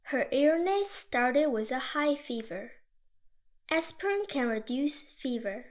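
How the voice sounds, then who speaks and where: Woman, high voice, even clear dictation reading, each sentence read twice. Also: slow. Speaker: dictation reader.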